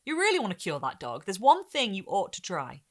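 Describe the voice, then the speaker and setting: A woman's voice: medium pitch, huge pitch swings, a little fast. A woman voicing a blunt, unsmiling rustic man with an emphatic, folksy delivery in a storybook reading. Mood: insistent. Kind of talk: expressive short story reading.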